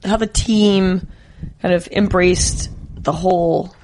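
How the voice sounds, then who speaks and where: A woman's voice: lowish voice, steady tone, slow pace, darkish tone. A woman guest bantering casually with friends, telling stories in a joking, teasing way. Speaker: podcast guest, soccer player. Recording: clearly noisy background with clicks.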